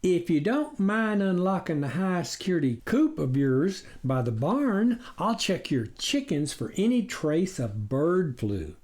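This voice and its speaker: A man's voice: on the high side, very animated, measured pace, hardly any pauses, darkish tone, voice pushed up. A man voicing a sly storybook coyote who passes himself off as a duck with glib, folksy sales patter. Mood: sly, reassuring. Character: sly male coyote posing as a vegan duck. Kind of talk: storybook character reading.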